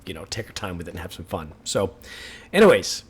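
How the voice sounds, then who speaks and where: Male reaction host, casual and chatty, slightly raspy from a lingering sore throat. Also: low voice, sing-song. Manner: chatty, laughs often, pauses the track to comment.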